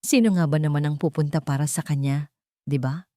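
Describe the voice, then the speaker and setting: Woman playing society mother, haughty, condescending, venomous sweetness, low-pitched, storybook drama. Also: fairly even intonation, fairly quick, dark tone, no room sound, studio quality, lightly acted. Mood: mock pity. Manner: sweet but cutting, condescending.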